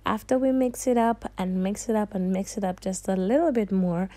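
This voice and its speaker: A woman's voice: mid-range voice, moderate pace, dark tone. A young woman hosting a cooking tutorial, talking the viewer through each step in a chatty, sassy way and calling them darling and honey. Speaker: cooking channel host.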